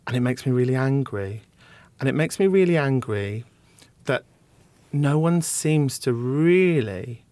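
A man's voice: medium pitch, dry recording. A man who is a guest on a podcast, a comedian talking in blunt, teasing banter. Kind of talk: casual interview chat.

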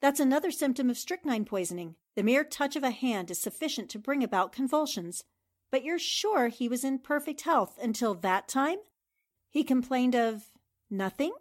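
A woman's voice: high voice, expressive, normal speed. A woman playing a confident, probing newspaper reporter in a 1940s radio drama, incisive and assured.

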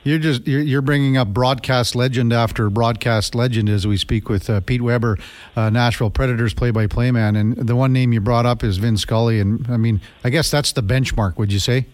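A man's voice: medium pitch, fairly even intonation, brisk, no echo. A young man hosting a radio interview, asking questions eagerly with lots of fillers. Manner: enthusiastic, many fillers and restarts. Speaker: radio show host.

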